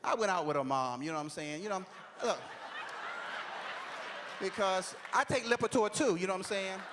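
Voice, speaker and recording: A man's voice: high-pitched, moderate pace, noisy background. A man, telling conversational stories and leaning on "you know what I'm saying.". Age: 40s. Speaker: stand-up comedian. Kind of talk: stand-up comedy routine.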